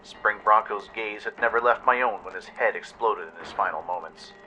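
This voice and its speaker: Man, dramatic story narrator, low-pitched, sharp bright tone, slight background noise. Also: moderate pace, poor audio. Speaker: narrator and radio show host.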